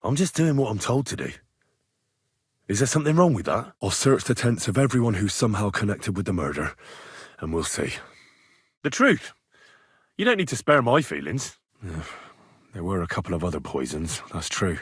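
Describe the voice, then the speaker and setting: An actor playing an earnest, inquisitive young medieval blacksmith turned adventurer in a video game, speaking in a plain-spoken way. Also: male voice, lowish voice, sing-song, moderate pace, long pauses, slightly rough, no echo, studio quality. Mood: matter-of-fact, probing. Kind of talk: video game dialogue lines.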